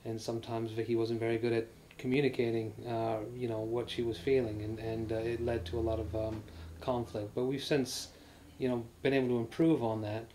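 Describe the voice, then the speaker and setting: A man's voice: medium pitch, little pitch movement, brisk, mellow, slight background noise. A man in his thirties giving a rambling casual testimonial on a living room sofa, with many fillers and restarts. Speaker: married couple sharing a testimonial.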